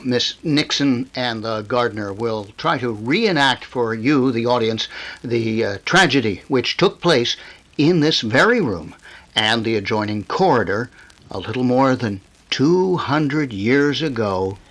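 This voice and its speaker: A fussy, solicitous radio announcer, acted by a man with a medium-pitched voice. He speaks haltingly and reassuringly, in the style of a 1930s radio play. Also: animated, a bit bright. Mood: hesitant, explanatory.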